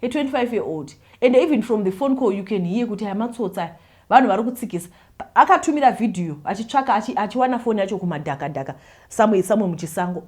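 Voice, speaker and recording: Quick-talking Zimbabwean woman, mellow voice. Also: medium pitch. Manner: animated, mixing Shona and English. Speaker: host reading confessions. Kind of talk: reading a letter aloud, then commenting.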